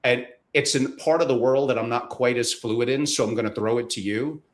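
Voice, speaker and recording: A man's voice: medium pitch, a little fast. A man hosting an interview, asking questions in an easygoing, self-deprecating way. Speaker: host.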